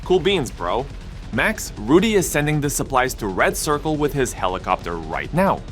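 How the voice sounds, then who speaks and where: A man's voice: mid-range voice, sing-song, normal speed, fairly bright tone, faint music behind. A man voicing an upbeat, friendly inventor in a comic dub, chummy and slangy.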